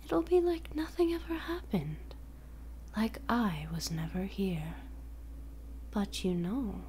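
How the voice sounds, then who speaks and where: A smug, playful female mind controller, played by a young woman in an audio roleplay. She whispers her teasing schemes in a slow, unhurried way. Her tone is mellow and dark. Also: medium pitch, a little hiss or hum.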